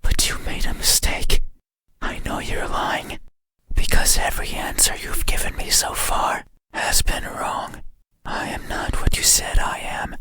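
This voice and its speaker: A lonely, menacing monster from an ASMR horror game. The voice is all breathy whisper, bright and sibilant. It creeps along very slowly in deliberate, halting phrases, yearning to be seen. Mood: accusing, bitter.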